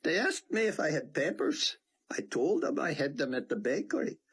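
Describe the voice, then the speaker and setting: A man's voice: on the high side, sing-song, normal speed, fairly bright tone, breathy edge, voice pushed up, heavily acted. A man voicing an older male rabbi, giddy and half-breathless with laughter. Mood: giddy storytelling.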